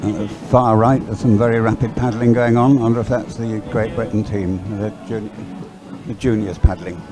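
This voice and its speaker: Male sports commentator, low register, rambling and hesitant live delivery over clearly audible music at an outdoor event. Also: fairly even intonation, moderate pace. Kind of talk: live unscripted race commentary, chatty.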